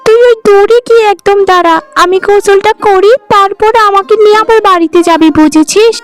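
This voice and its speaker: Woman voicing a very high-pitched, spiteful young bride. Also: fairly quick, soft background music. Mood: mocking, haughty. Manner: gloating, taunting, shrill exclamations. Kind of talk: animated folk-tale dialogue.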